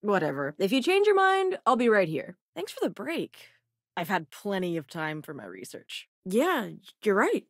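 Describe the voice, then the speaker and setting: A sardonic, expressive spacefaring hacker. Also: female voice, medium pitch, normal speed, no room sound, studio quality. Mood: wry, guarded. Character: cynical, dry-witted spacefaring hacker and researcher, game companion. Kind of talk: video game companion voice lines.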